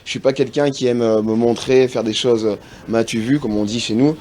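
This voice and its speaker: A man from France speaking as a TV studio guest, earnest and halting, often restarting his sentences. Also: medium pitch, steady tone, warm tone, a little hiss or hum. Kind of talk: casual TV interview answers. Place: TV studio set.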